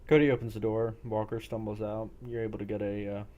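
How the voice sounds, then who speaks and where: A man's voice: fairly low pitch, flat delivery, fast talker, mellow, lo-fi sound. A man running a role-playing game, narrating scenes off the cuff in a matter-of-fact way. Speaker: game master.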